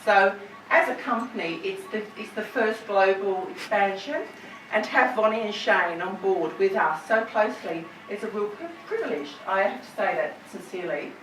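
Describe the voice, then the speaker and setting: A woman's voice: medium pitch, moderate pace, fairly bright tone. A woman, giving a chatty, apologetic farewell toast at a party. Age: 50s. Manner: chatty, apologetic, laughing asides. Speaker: company head giving a farewell speech. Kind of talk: informal toast speech at a party. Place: indoor function venue at a party. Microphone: far-off camera, no microphone. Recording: echoey room from far off, noisy.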